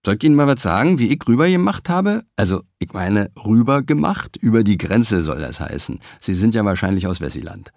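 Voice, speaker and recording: A man's voice: fairly low pitch, very animated, a little fast, gravelly, hardly any pauses, a little mellow, no room sound, heavily acted. Grumpy gaunt berlin taxi driver, sings his words, a man doing the voice, dry close mic. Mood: chatty. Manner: whiny singsong, chatty.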